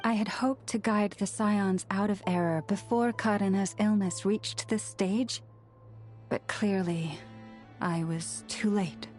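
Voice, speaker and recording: Woman playing a slow, solemn female spiritual leader. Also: mid-range voice, fairly even intonation, faint music behind, natural voice. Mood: regretful, solemn. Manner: measured, solemn, gentle. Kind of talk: video game voice acting.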